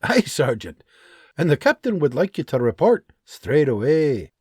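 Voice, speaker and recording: A man voicing a young, dutiful Scottish soldier with a husky, formal way of speaking, as an audiobook character. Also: on the high side, sing-song, a little slow, a little mellow, no echo, clean studio sound, full character voice.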